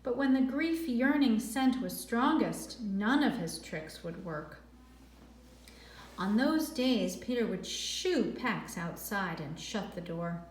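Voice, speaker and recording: A woman reading a storybook aloud like a teacher, expressive and deliberate, stretching words for drama and adding brief explanatory asides. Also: mid-range voice. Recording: echoey, roomy sound.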